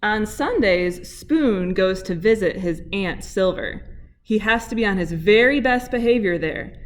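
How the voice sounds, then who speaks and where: An American woman reading a picture book aloud to children in an expressive, deliberate storybook delivery. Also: mid-range voice. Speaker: PE teacher and coach reading aloud.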